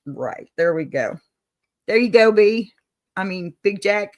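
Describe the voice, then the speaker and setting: A woman's voice: medium pitch, expressive, takes it slowly, a little mellow. A woman with a Texan accent hosting a casual advice livestream, folksy and encouraging, calling viewers honey and y'all. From Texas. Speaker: advice show host. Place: home setup, on camera.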